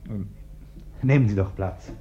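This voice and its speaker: An actor playing a proper bourgeois householder in a radio play, uneasy and gruff but polite, with a curt, stiff, flustered manner. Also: male voice, fairly low pitch, unhurried, warm tone, slight background noise, close to an everyday voice. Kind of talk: classic German radio play acting. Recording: dull, band-limited old radio recording.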